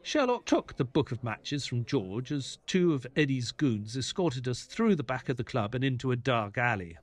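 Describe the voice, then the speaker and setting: A man playing a detective's loyal assistant, narrating an audio drama in a measured storytelling way. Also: medium pitch, big pitch swings. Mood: grave, narrating.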